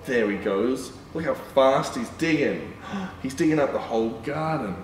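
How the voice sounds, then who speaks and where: A young man reading a picture book aloud to children as a storytime presenter, in an animated, exclaiming manner. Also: mid-range voice, unhurried. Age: young adult. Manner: animated, exclaiming, with excited gasps and asides. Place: indoor room with painted backdrop. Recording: roomy, distant mic, background noise.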